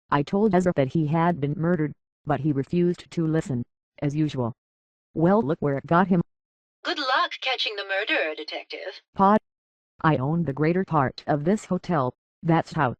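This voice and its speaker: A woman's voice: deep voice, darkish tone, dry recording, highs cut off. A woman voicing a haughty, petulant rich heiress in a robot body for a video game, with pompous, scornful, theatrical diction through a metallic filter. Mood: wistful, peevish.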